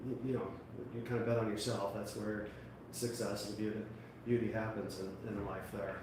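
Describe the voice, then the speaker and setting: A man with a fairly low voice hosts an interview, asking rambling, hesitant questions. He is far from the mic in a very echoey room, with clear background noise. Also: level tone, normal speed. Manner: rambling, hesitant, many fillers. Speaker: podcast host. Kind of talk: casual interview.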